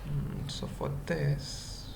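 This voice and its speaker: A coding tutorial presenter thinking aloud in short, halting fragments during an unscripted screencast. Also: darkish tone. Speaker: web development tutorial presenter. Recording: noisy mic, keyboard typing. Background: computer keyboard typing.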